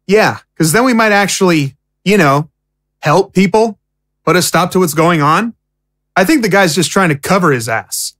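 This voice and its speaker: A woman's voice: on the low side, expressive, moderate pace, no echo, studio quality. A woman playing an impatient, outspoken young prisoner in an audio drama, with a casual, indignant way of talking.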